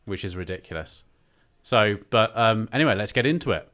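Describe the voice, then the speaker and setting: Chatty podcast host, low voice. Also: male voice, expressive, a little fast, fairly bright tone. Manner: chatty, jokey, rambling questions. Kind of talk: casual business podcast chat.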